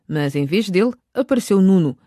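A woman's voice: mid-range voice, animated, a little fast, warm tone, no room sound. A woman narrating a radio drama from a script, close on the microphone.

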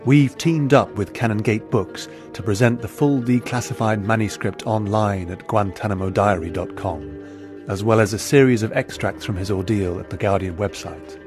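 A man's voice: fairly low pitch, moderate pace, music in the background. A man presenting a podcast with a scripted introduction.

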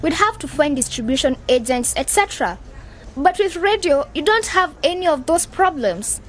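An actress playing an excitable, outspoken young woman in an educational radio drama, with an emphatic, teasing manner. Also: high voice, moderate pace, slight background noise. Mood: arguing.

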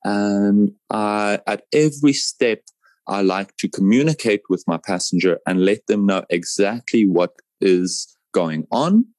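A man with a fairly low voice speaks at a very unhurried pace. He explains things step by step in a reassuring way, often repeating phrases for emphasis. The sound is dry, with no room echo. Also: darkish tone. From South Africa. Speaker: paragliding pilot, interview guest. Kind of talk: conversational interview answers.

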